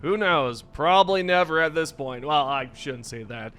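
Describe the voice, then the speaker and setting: A young man hosting a gaming news video, chatty and enthusiastic, his voice slightly raspy today. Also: a little high, expressive, normal speed, sharp tone, a little hiss or hum. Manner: enthusiastic, chatty, addresses viewers directly. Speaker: gaming channel host. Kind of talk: casual gaming news commentary over gameplay.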